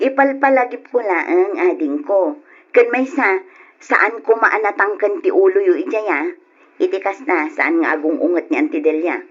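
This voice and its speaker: A woman playing a bossy but caring older sister in an old radio drama, nagging affectionately in a husky voice. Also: fairly low pitch, moderate pace, dull audio.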